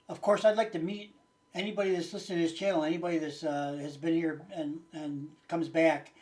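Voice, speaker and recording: A man's voice: a little high, darkish tone. A man in his late sixties chatting casually and unscripted to his viewers as a channel host, rambling in a folksy way with hesitant phrasing. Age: late 60s.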